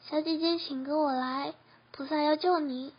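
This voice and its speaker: A woman's voice: sits high, steady tone, moderate pace, natural voice. A teenage girl playing an eager, obedient young attendant to a deity in an amateur radio drama. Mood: admiring, gentle. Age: teens. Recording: muffled lo-fi recording.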